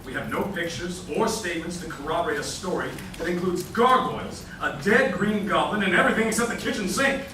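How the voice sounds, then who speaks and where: A man's voice: sits high, a little fast, few pauses, sharp tone. A man playing a sensible, exasperated newspaper editor in a radio play, emphatic and wry. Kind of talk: live staged radio play acting. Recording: echoey hall, distant mic, noisy.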